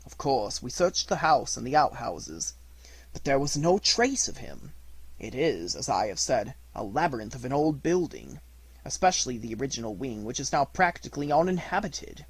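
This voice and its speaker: A man with a husky voice giving an expressive, scripted reading of a classic detective story as an amateur audiobook narrator. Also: mid-range voice, moderate pace, rough recording.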